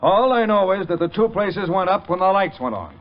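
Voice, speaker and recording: An actor playing a harried, impatient police commissioner in an old radio drama, brusque and sarcastic. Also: male voice, high voice, lively intonation, a little fast, muffled recording. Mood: irritated. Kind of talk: 1940s radio acting.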